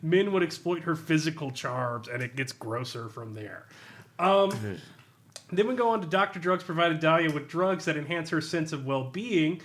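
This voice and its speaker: Male podcast host, high and bright voice, sardonic and amused, casual read-aloud commentary. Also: animated, moderate pace. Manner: amused, sardonic, often laughing while talking. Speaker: podcast host reviewing a tabletop game. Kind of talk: humorous casual review, reading aloud with commentary.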